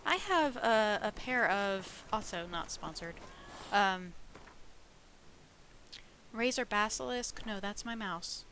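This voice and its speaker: A woman's voice: medium pitch, lively intonation, slow pace, long pauses, a bit bright, slight background noise. A woman chatting playfully and casually while live-streaming a game from home.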